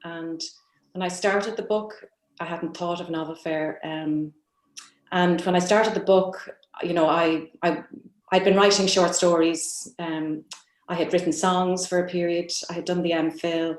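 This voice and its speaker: A woman's voice: lowish voice, steady tone, measured pace. A woman talking off the cuff as a panel guest, earnest and repeating phrases for emphasis. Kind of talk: unscripted panel talk. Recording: echoey room sound.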